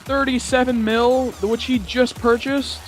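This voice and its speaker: Very high-voiced, giggly young man rambling. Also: level tone, measured pace, faint music behind. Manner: giggly, rambling, repeats himself. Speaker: gamer telling a story. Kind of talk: casual chat, storytelling.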